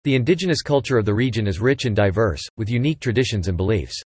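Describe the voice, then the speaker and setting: A man reading English example sentences aloud for listening practice, in an even, clipped delivery. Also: lowish voice, fast talker, breathy edge, dry recording.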